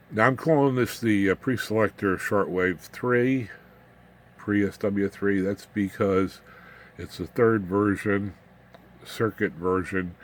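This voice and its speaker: A man giving an informal, unscripted hands-on demonstration as a hobbyist, haltingly, muttering asides to himself as he works. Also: sits low. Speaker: hobbyist radio builder demonstrating his equipment. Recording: clear background noise, room ambience.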